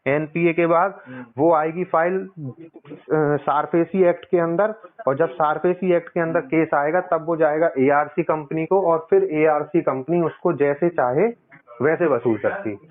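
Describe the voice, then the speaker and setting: Male caller, slightly high-pitched, explains insistently and circles back over points, narrow phone-line sound. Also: measured pace. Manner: insistent, explanatory, repeats himself. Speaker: caller, loan customer. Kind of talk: casual phone conversation. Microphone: phone call.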